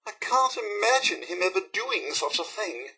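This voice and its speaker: Prim, fussy robot butler. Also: male voice, high voice, very animated, normal speed, bright, forceful tone, husky, full character voice. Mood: alarmed, worried. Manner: prim, formal, mannered butler diction. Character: polite, fussy, anxious male robot butler. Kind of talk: scripted video game character dialogue.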